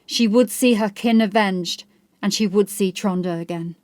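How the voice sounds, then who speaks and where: Female narrator for dark historical fiction. Mid-range voice, serious, measured scripted storytelling. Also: mid-range voice, no room sound. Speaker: audiobook narrator.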